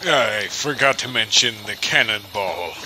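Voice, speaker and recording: A man playing a folksy village storyteller, narrating an old legend deliberately in an old-fashioned audio-drama style. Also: on the low side, animated, bright, forceful tone, a little hiss or hum, full character voice, low-quality recording. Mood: wry, ominous.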